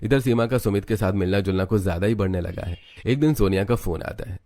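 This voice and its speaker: A man's voice: lowish voice, fast, warm tone. A man reading scripted narration for a moral story as its storyteller.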